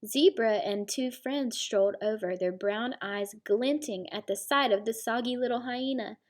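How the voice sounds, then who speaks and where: Female teacher, slightly high voice, storybook read-aloud for young children, explanatory delivery. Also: normal speed.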